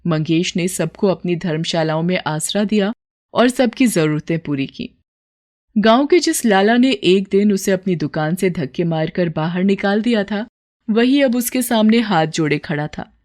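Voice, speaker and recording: A woman's voice: medium pitch, expressive, normal speed, a little mellow. A woman storyteller reading a scripted moral tale as narration.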